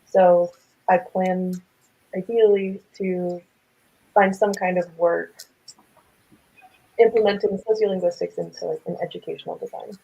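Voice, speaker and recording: A young woman, a postgraduate student, answering in a thoughtful, measured way. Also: fairly low pitch, steady tone, creaky, warm tone, husky, muffled recording. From United States.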